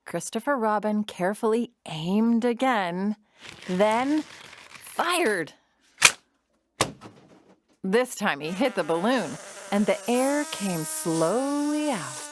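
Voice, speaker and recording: A woman narrating a children's storybook audio drama in an expressive storytelling manner. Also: medium pitch, takes it slowly, long pauses, noisy background.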